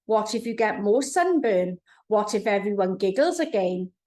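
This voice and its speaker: A woman's voice: mid-range voice, animated, normal speed, a little mellow, lightly acted. A woman voicing a scheming, bossy monster villain from a children's storybook, with a commanding, taunting manner.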